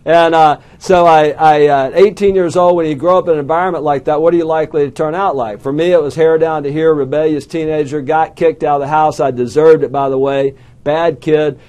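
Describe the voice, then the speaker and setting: Southern man from Alabama, middle-aged, slightly high voice; folksy motivational speaker who asks the crowd questions. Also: fairly even intonation, a little fast, hardly any pauses, a little mellow. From southern United States. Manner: folksy storytelling with self-deprecating jokes and questions to the crowd. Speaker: small business coach speaker. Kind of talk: motivational talk to a live audience.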